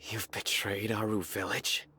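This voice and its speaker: Watchful protector, terse, serious, fairly deep, game dialogue, slight background noise. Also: male voice, level tone, a little slow, sharp tone. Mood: indignant. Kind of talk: video game voice acting. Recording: faint ambient noise behind.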